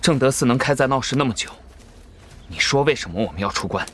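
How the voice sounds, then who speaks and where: An actor playing a wary young Taoist leader in an audio drama, giving clipped, urgent, commanding lines. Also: male voice, medium pitch, animated, quick pace, fairly bright tone, a little hiss or hum, close to an everyday voice. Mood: grim, resolute. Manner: clipped, terse orders. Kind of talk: Chinese audio drama acting.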